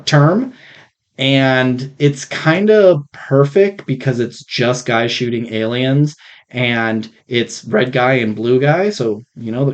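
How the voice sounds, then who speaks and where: A man co-hosting a podcast in casual, jokey, rambling chat, riffing freely on a rough, faulty microphone. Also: medium pitch, a little mellow. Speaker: podcast co-host.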